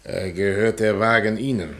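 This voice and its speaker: An actor playing a polite, dutiful police sergeant in a radio comedy, formal and pedantic. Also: male voice, lowish voice, fairly even intonation, normal speed, close to an everyday voice. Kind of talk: German radio comedy acting.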